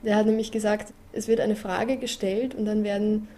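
A woman's voice: mid-range voice, flat delivery, normal speed, warm tone. A woman recalling past work in a casual, reflective interview style.

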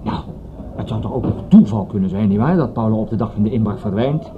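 An actor playing a shrewd police inspector in a radio drama, needling and politely condescending in a dry way. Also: male voice, on the low side, animated, fast, lightly acted. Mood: reasoning, courteous. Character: shrewd, drily teasing male police inspector. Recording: tinny, noisy old radio recording.

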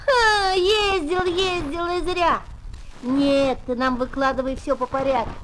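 A woman playing a spirited, expressive young schoolboy in a Soviet children's radio play from the 1970s. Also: high-pitched, unhurried. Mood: teasing. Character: spirited young schoolboy, narrating friend of Tolka. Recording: noisy old 1970s flexi-disc record.

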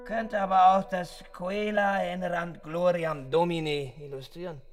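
A young intellectual, drunk and pompous, for a radio play. The voice is high for a man and slow, halting and declamatory as he philosophises. Piano music sounds loudly behind him. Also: steady tone. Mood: musing, pedantic.

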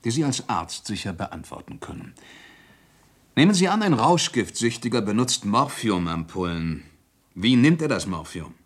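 Husky, shrewd police inspector. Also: male voice, on the low side, big pitch swings, normal speed. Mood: measured, probing. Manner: probing, methodical, commanding. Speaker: actor in a radio drama. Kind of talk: German radio play acting.

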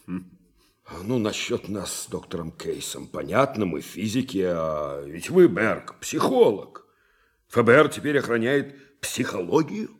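Husky, deep-voiced skeptical physicist. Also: male voice, very animated, slow pace, heavily acted. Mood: wry, grumbling. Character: skeptical, reluctant male physicist. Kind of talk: radio play acting.

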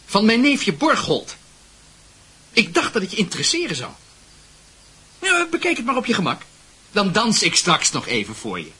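An actor playing a cocky, evasive teenage schoolboy in a radio play, flippant and theatrical in delivery. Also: male voice, high-pitched, huge pitch swings, moderate pace, pauses often, bright, forceful tone, husky, exaggerated voice. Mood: casual. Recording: noisy radio play recording.

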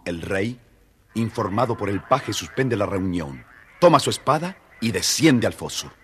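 A man's voice: on the low side, very animated, a little slow, a bit bright, a little hiss or hum. A man narrating a children's story dramatically, addressing his young listeners directly.

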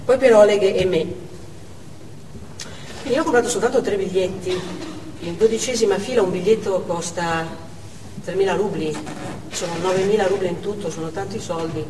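A woman's voice: mid-range voice, moderate pace, pauses often, crowd noise, close to an everyday voice. An actress playing a modest, devoted Russian mother who works as a bookkeeper, in a theatrical monologue. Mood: matter-of-fact. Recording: echoey hall, distant mic, clear noise.

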